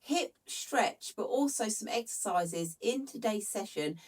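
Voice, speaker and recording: A woman leads a slow Pilates class in a gentle, steady voice, her nose a little stuffed up from hay fever. Her voice is picked up from some distance from the mic. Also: mid-range voice. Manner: calm, gentle cueing. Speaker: Pilates instructor. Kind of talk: guided follow-along workout instruction.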